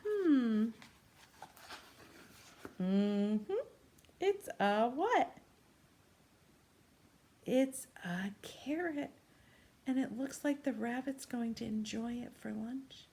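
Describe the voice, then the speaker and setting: A woman doing an interactive read-aloud for young children, gently asking questions and pausing for answers. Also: fairly high pitch, expressive. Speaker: teacher reading a picture book to young children. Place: small room at home, indoors.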